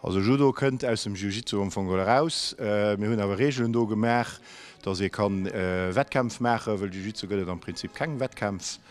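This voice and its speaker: A man, a judo coach explaining the sport in an interview, often pausing with fillers as he searches for words. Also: fairly low pitch, soft background music. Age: 50s. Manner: hesitant, pauses with fillers while explaining.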